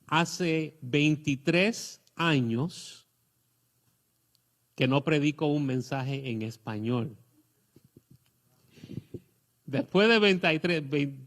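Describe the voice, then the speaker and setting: A middle-aged man with a Puerto Rican accent delivers a sermon. He talks in a searching, halting way, full of fillers and restarts, at an ordinary middle pitch. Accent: Puerto Rican Spanish. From Puerto Rico. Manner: hesitant, many fillers and restarts. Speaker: pastor.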